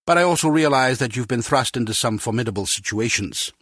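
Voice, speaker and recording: Husky spy chief. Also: male voice, mid-range voice, animated, fairly quick, a bit bright, no echo, clean studio sound. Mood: measured, firm. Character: measured, authoritative British male spy agency director. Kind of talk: video game voice acting.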